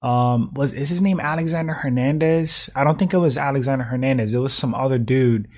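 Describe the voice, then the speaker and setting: Man, slightly hoarse, laid-back slangy chat, frequent throat clearing, everyday pitch. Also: a little fast, a little mellow. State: slightly hoarse, losing his voice. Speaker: livestream host reading chat. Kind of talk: casual chat.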